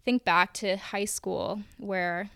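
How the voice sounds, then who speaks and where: A woman's voice: medium pitch, fairly even intonation, takes it slowly, gravelly, fairly bright tone, clean tone, no echo, studio quality. A young woman with an American accent, casually recalling personal experience as a podcast guest.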